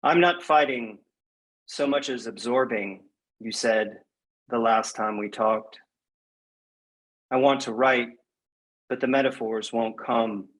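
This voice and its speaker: A man speaking as a guest poet in a measured, reflective, slightly hesitant way, as at a reading or interview. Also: medium pitch, rough recording. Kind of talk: poetry reading and conversational interview.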